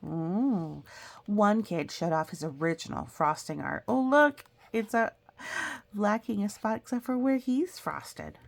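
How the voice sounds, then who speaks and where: Unhurried woman reading aloud, creaky voice. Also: mid-range voice. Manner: animated, explains things to young listeners. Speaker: storyteller reading a picture book aloud. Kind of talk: expressive read-aloud with chatty asides.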